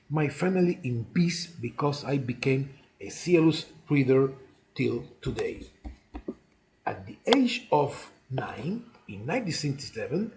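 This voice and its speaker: Male, 60s, Cuban Spanish accent, slightly higher voice, halting autobiographical monologue. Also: a little mellow, slight background noise, low-quality recording. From Cuba. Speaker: chess player narrating own life story.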